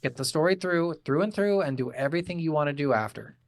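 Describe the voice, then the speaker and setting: A man's voice: a little high, lively intonation, fast talker, no room sound. A man co-hosting a podcast, chatting casually about video games in a blunt way and swearing freely. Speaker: podcast host. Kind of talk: casual video game review chat.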